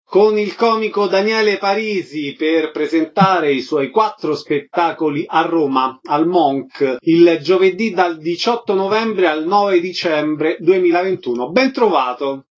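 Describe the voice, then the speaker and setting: A man's voice: a little high, a little slow, hardly any pauses, faint music behind. A man presenting on radio as host and interviewer. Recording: dull, lo-fi audio.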